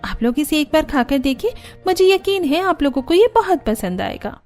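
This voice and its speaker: A woman's voice: sits high, very animated, normal speed, darkish tone, faint music behind, voice pushed up. A woman voicing an earnest, put-upon young daughter-in-law in a cartoon family drama, polite and plaintive. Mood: bright, eager.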